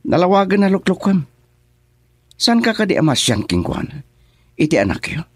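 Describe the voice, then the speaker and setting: A man's voice: sits high, very animated, moderate pace, breathy edge, heavily acted. A man acting a cold, taunting schemer in a radio drama, speaking in a menacing way.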